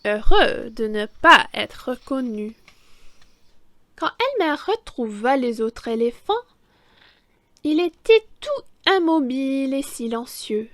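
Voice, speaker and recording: Slow, high-voiced woman reading a children's story. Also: expressive, fairly bright tone, no room sound. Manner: slow, deliberate, theatrical storytelling with emphasis on key words. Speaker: children's story reader, French teacher. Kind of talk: expressive read-aloud of a children's picture book.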